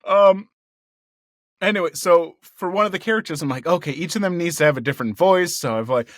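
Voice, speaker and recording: A male voice actor chatting in an interview. He is cheerful and excitable, lifts his voice into a higher register with a gravelly undertone, and laughs often. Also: brisk, no echo, clean studio sound. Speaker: voice actor guest. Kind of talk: casual interview chat. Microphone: headphones and a home recording mic.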